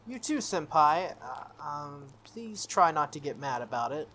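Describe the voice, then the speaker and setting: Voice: medium pitch, big pitch swings, unhurried, a bit bright, slight background noise. A game player voicing a shy, polite schoolgirl from a visual novel, hesitant and apologetic. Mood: timid, polite.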